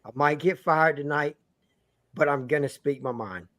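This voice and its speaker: A man's voice: on the high side, normal speed, fairly bright tone. A man on a casual sports podcast who talks in a teasing, dramatic way. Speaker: podcast guest.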